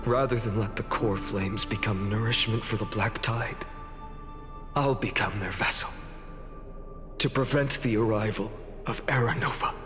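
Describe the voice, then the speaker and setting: An actor voicing a solemn, self-sacrificing male warrior in a fantasy game, with a grave, hushed and determined delivery. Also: lowish voice, fairly even intonation, normal speed, natural voice. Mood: resolute. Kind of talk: dramatic fantasy game voice acting. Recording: long reverb under clear background music.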